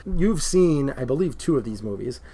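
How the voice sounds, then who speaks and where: A man's voice: mid-range voice, lively intonation, moderate pace, warm tone. A man co-hosting a casual movie talk show, laughing often and filling with "um", whose voice is starting to go. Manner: laughs often, lots of fillers. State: losing his voice. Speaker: podcast co-host.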